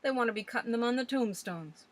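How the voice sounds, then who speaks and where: Old village grump, loud and scornful, rustic grammar, read by a woman narrator. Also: on the high side, expressive, fast talker, voice pushed up, natural voice. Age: older.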